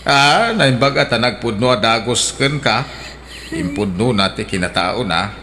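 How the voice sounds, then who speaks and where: An actor playing an older father who gently advises his grown child. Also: medium pitch, animated, measured pace, noisy background, natural voice. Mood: gentle. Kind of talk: radio drama acting.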